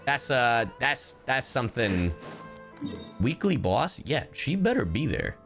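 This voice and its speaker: A male streamer talks casually over faint game music, his pitch leaping around a middle register. He rambles and swears freely as he comments on the game. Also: big pitch swings, slow pace, a bit bright. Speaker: livestreamer.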